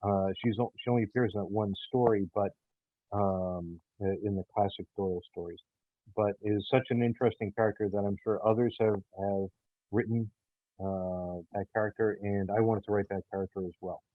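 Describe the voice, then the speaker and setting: A man speaking haltingly as he explains his writing in a casual interview, pausing often mid-sentence. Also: fairly low pitch, steady tone, dry recording. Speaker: writer and creator of an audio theater show. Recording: thin, phone-like call audio.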